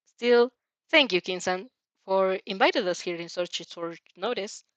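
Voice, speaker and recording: A woman's voice: medium pitch, normal speed, a bit bright, no echo, muffled recording, natural voice. A woman voicing a shy, grateful teenage boy with a hesitant, polite manner in a story reading. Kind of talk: fan fiction character reading.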